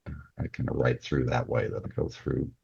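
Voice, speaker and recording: A man's voice: a bass voice, fairly quick, gravelly, a little mellow, breathy edge. An older man talking conversationally as an interview guest, explaining his own process. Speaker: podcast guest, novelist. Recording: dull, band-limited audio.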